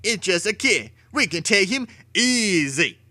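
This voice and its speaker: Man as storybook hoodlum, high bright voice, excitable, slangy, exclamatory. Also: unhurried, dry recording, studio quality, exaggerated voice. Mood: cocky. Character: loud, cocky street thug, easily panicked.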